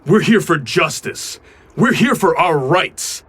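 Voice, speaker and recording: A mutant rebel leader, earnest and solemn, with a rather high, very expressive voice. He speaks emphatically in a roomy space with faint noise behind, like a cartoon drama hero. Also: slow. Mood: rousing, defiant. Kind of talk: animated audio drama acting.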